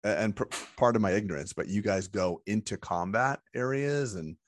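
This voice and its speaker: A man with a low voice asks loose, curious questions, often restarting his sentences. His voice sounds close and dry, with no room behind it. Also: moderate pace, dry recording. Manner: chatty, curious, rambling questions. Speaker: interviewer. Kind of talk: casual interview questions.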